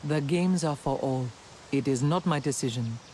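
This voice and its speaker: Calm, wise alien clan elder acted. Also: medium pitch, slight background noise, close to an everyday voice. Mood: calm, counselling. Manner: measured, counselling. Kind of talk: video game dialogue acting.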